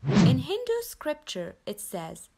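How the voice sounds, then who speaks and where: A young woman from Nepal reading her personal story aloud in an earnest, measured way. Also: high voice, huge pitch swings, mellow, breathy edge. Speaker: convert telling her story. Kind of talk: scripted personal narration.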